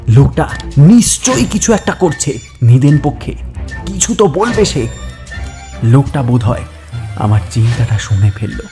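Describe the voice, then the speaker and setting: A man narrating a horror story in the first person, suspenseful and dramatic, with halting pauses. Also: mid-range voice, very animated, mellow, faint music behind.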